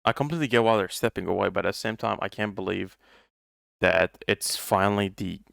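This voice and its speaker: Deep, gravelly young man, non-native English. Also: fairly even intonation, brisk, fairly bright tone, no echo, clean studio sound. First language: not English. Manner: thoughtful, rambling, many restarts. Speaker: podcast co-host. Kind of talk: casual chat.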